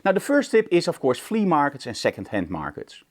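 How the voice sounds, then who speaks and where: Man, very animated intonation, informal instructor style, emphatic, conversational. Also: on the high side, fairly quick. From Netherlands. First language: Dutch. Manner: emphatic, conversational, rhetorical questions. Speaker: photography instructor, show host. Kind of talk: informal tutorial talk to camera.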